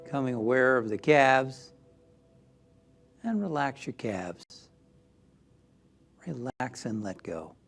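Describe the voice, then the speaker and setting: A man leading a guided meditation as a minister, gentle and soothing, often softening toward a whisper. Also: mid-range voice, expressive, takes it slowly, creaky, long pauses, soft background music.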